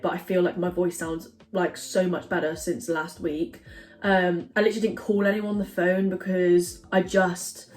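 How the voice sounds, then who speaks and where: A young woman vlogging in her home kitchen, chatting casually and rambling with lots of fillers. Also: medium pitch. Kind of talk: casual chat to camera.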